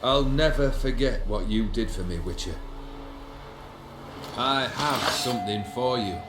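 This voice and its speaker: A man's voice: medium pitch, a little slow, reverberant, over music, close to an everyday voice. A man playing a proud, melancholy, world-weary nobleman in a video game, with an eloquent, formal and brooding delivery. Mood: solemn, resolute.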